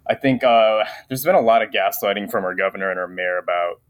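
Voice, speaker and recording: A young man with a fairly low voice talks thoughtfully, pausing often with ums. He sounds like a guest speaking over a remote call. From Japan. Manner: soft-spoken with many filler ums. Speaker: guest, teacher. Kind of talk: casual interview conversation.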